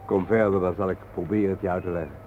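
An actor playing a calm, cryptic guide who speaks in a measured, enigmatic way in a radio play. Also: male voice, deep voice, lightly acted. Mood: patient, cryptic. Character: calm, cryptic male guide named Hein, explaining mysteries. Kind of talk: Dutch radio play acting. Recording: noisy, narrow-band old radio recording.

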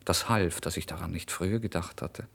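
A man playing a starving, proud young writer in a literary radio drama monologue, theatrical and volatile, swinging between hushed and exclaiming delivery. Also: low voice, a little fast, vocal fry. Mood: desperate, proud. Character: starving, feverish, proud young male writer, giddy and erratic.

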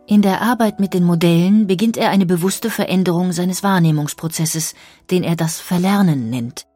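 A woman narrating a scripted biographical documentary in a measured, precise way. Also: fairly low pitch, warm tone, soft background music.

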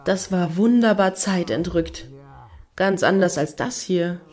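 A woman's voice: fairly low pitch, measured pace, darkish tone. An actress in a radio play voicing a blunt, sardonic woman with a dry, needling, colloquial way of talking. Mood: fond recollection. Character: blunt, sardonic woman friend of a devoted fan.

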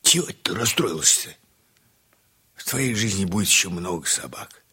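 An actor playing a gruff, consoling older country man in an audio story, husky and drawling, half-singing at times. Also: low-pitched, animated, moderate pace, pushed and bright, full character voice. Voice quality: husky, rough. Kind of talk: acted audio story dialogue.

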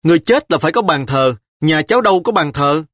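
A man's voice: fairly high pitch, lively intonation, quick pace, fairly bright tone, no echo, studio quality, pitched above the performer's own voice. A man voicing a stubborn, sulky little girl of about ten who pleads and insists in an audiobook. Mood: pleading. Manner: pleading, insistent child's whine.

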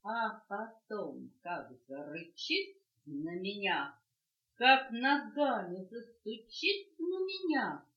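A woman's voice: medium pitch, takes it slowly. Woman reciting a rhythmic children's poem. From Russia. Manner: rhythmic, lively sing-song recitation. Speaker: reader of children's poems. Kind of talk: reading a children's poem aloud. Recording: echoey dull home cassette tape.

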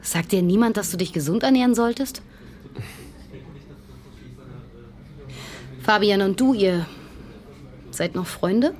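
A woman's voice: medium pitch, moderate pace, a little mellow, close to an everyday voice. An actress playing a grieving, direct young woman who questions people in a naturalistic radio drama. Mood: probing.

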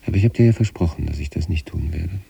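A dark, husky, brooding male patient. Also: sits low, quick pace, lo-fi sound, heavily acted. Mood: uneasy, restrained. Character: troubled, detached male sanatorium patient writing letters to his wife. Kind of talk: radio play monologue, letters read as inner voice.

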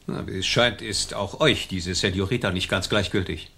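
Forceful, rough-voiced haughty governor. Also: male voice, low voice, normal speed, heavily acted. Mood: stern, accusing. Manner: imperious, formal, scornful. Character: haughty, vindictive colonial governor, quick to rage. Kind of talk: German radio play acting.

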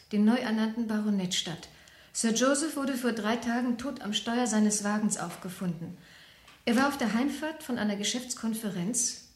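A woman playing a concerned, insistent, chatty doctor's wife in a German radio crime play, speaking clearly. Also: on the high side, close to an everyday voice. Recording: roomy old radio drama studio.

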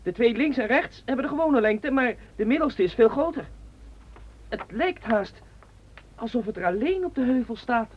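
An actress voicing a curious, chatty schoolboy in a radio play. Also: female voice, on the high side, normal speed, slight background noise, natural voice. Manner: lively, inquisitive. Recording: dull lo-fi recording.

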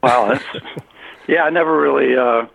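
A man chatting casually as a guest over the phone in an easygoing, halting way. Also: medium pitch, creaky, a bit bright. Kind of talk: casual chat. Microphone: telephone. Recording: tinny, muffled phone line.

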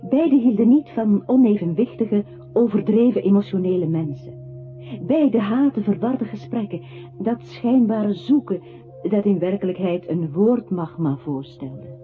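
A woman acting a composed, coolly analytical narrating voice in a literary radio play, speaking deliberately. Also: medium pitch, animated, close to an everyday voice. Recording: tinny band-limited radio drama, music behind.

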